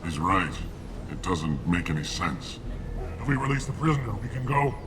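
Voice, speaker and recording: An actor playing a suspicious, threatening alien gunman in a video game, gruff and menacing in manner. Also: low voice, sing-song, moderate pace, noisy background. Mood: grudging.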